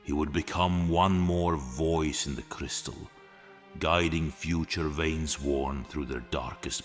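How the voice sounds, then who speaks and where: Very deep, slow male epic narrator. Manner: solemn, dramatic, measured delivery. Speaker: audio drama narrator. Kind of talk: scripted epic fantasy story narration. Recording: long reverb with music behind. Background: music.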